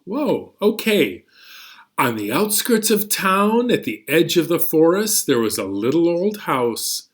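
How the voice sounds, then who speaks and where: A man's voice: fairly high pitch, sing-song, slow. A man hosting a children's storytime, reading and singing playfully and expressively with sudden emphasis. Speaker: children's storytime host, librarian reader. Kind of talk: animated storytelling and songs for young children.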